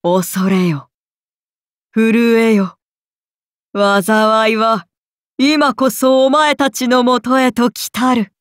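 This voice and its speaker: A woman's voice: on the high side, fairly even intonation, drawn-out words, long pauses, dry recording, clean studio sound. A woman voicing a solemn, menacing serpent goddess in a game, declaiming grand, archaic incantations.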